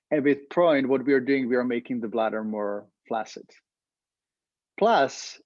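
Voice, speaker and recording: A man's voice: medium pitch, dry recording, highs cut off. Czech-accented man explaining as a vet. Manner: explanatory, repeats words while searching. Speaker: veterinarian host. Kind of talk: educational talk.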